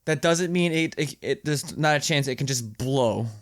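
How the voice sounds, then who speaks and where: A young man co-hosting a casual podcast, talking bluntly with swearing and repeated, halting starts. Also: a little high, dry recording, studio quality. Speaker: podcast co-host. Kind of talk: casual chat.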